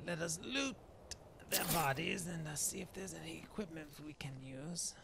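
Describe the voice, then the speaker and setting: A gravelly, slightly high-pitched Dark Elf shaman, played by a man narrating his own game adventure. He is genteel and mild, musing aloud with archaic turns of phrase and frequent hesitation. The recording has clear background noise. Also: normal speed. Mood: calm, musing. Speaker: gamer roleplaying in a video. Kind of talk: in-character improvised gameplay narration.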